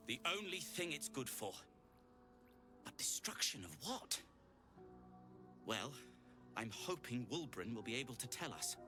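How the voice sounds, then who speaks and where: An earnest gnome tinkerer and adventurer in a fantasy video game. He is hesitant and formal, with dry wit and a very animated, mid-pitched delivery. Music plays behind him. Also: a little slow, pauses often. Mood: grave, worried. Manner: hesitant, wry, formal turns of phrase. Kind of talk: video game character dialogue.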